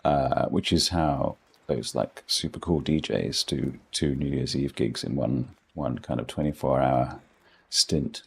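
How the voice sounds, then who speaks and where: A man's voice: very deep voice, a little slow, vocal fry, slightly rough. A middle-aged man with a posh English accent, chatting casually with viewers while playing a game, rambling with dry self-deprecating humour. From England. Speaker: gaming streamer.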